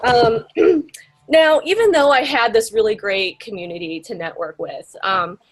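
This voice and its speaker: A woman's voice: fairly high pitch, measured pace, background noise. A young woman telling her story in an interview, chatty and full of fillers and rhetorical questions. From United States. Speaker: interviewee, graphic designer.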